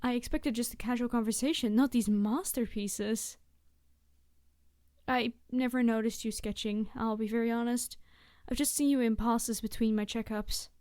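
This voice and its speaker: A woman's voice: a little high, fairly even intonation, normal speed, darkish tone, no room sound, clean studio sound, natural voice. A woman playing a cute, friendly young biologist in an ASMR roleplay, chatting eagerly and a little hesitantly to the listener about animals. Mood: amazed, flustered. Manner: gushing, hesitant, chatty explaining.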